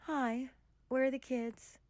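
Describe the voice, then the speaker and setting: A woman's voice: high voice, level tone, slow, a little mellow, dry recording. An actress playing a once-drab woman turned vengeful witch, speaking in a cold, taunting manner in a radio drama.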